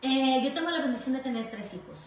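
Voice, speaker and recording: A woman's voice: high voice, expressive, fast talker. A middle-aged woman with a Mexican accent, talking casually as a guest and sharing her personal story. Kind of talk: casual conversational testimony. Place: echoey room in host's home. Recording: very echoey room, distant mic, faint noise.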